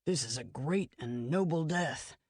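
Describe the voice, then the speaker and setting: A woman doing a slow, pompous dying killer. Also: expressive, a little mellow, slightly rough, no echo, clean studio sound, full character voice. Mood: serene. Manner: slow, low, pompous and unhurried. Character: big dying male killer, grandly calm.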